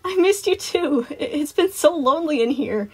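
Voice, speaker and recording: A woman's voice: high-pitched, expressive, normal speed, faint music behind, voice pushed up. A woman voicing a cheerful but nervous male animatronic daycare attendant in an audiobook-style story, with forced enthusiasm and a tendency to stammer.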